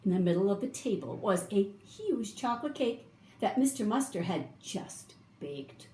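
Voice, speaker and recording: A woman reading a children's picture book aloud, with expressive, drawn-out emphasis for young listeners. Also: mid-range voice. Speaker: storybook reader. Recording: roomy echo, faint background noise.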